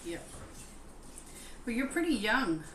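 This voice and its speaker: An American woman in her forties chatting casually as co-host, talking haltingly with fillers and poking fun at herself. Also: on the high side, big pitch swings, a bit bright. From United States. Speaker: co-host of a live stream. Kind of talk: casual chat. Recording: echoey room with noise behind.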